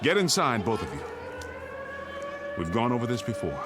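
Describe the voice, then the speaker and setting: A man's voice: mid-range voice, wildly swooping pitch, moderate pace, noisy background. An American man acting in a radio drama as a level-headed, middle-aged father who takes command. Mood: stern.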